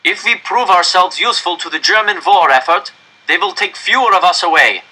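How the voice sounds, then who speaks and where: Man acting a desperate director, pitched high and forceful. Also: a little fast, husky, full character voice, rough recording. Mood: grave, insistent. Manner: loud, pleading oratory in emphatic phrases. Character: desperate male council director addressing an angry crowd.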